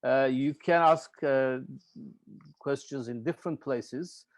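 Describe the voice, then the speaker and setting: Halting Turkish-accented man hosting a webinar. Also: medium pitch, no echo. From Turkey. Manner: halting, with frequent hesitations and repeated words. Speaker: webinar host and moderator. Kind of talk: informal spoken introduction of a panel. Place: online video webinar.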